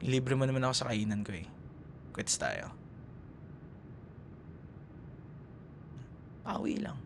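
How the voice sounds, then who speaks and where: A man's voice: mid-range voice, big pitch swings, moderate pace, creaky, noisy background. A man with a Filipino accent acting a teasing young ex-boyfriend in a casual, intimate roleplay. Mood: caring, casual.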